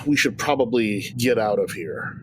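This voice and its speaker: A man's voice: on the low side, lively intonation, moderate pace, a bit bright, slight background noise. Hesitant man playing a tabletop game. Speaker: player. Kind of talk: casual tabletop role-play.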